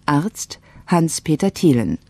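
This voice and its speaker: Slow, measured radio announcer. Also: mid-range voice, sing-song, creaky, mellow, no echo. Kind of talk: scripted radio credits reading.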